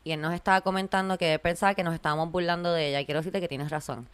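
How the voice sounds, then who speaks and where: A woman's voice: on the low side, steady tone, racing, clean tone, no room sound. A woman, hosting a podcast in casual chat, laughing often and mixing in English words. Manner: chatty, laughing, mixes English words into Spanish. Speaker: podcast host.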